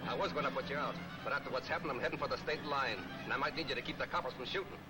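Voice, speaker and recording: An actor playing a tough, desperate gangster on the run in a 1940s movie serial, barking slangy threats. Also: male voice, medium pitch, rapid-fire, lightly acted, rough recording. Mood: threatening. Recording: old film soundtrack, loud music.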